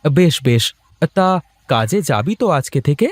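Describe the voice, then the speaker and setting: A man's voice: fairly high pitch, very animated, normal speed, a little mellow, no echo. A man voicing a bossy, grumbling but doting village husband in a cartoon. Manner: bossy, emphatic. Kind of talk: cartoon voice acting.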